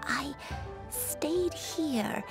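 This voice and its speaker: A woman playing a frail, gentle, forgetful elderly grandmother in a video game, speaking slowly and softly with halting phrases. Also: high voice, expressive, over music, full character voice. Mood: wistful, halting. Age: older. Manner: slow, halting, soft-spoken. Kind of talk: scripted video game voice acting.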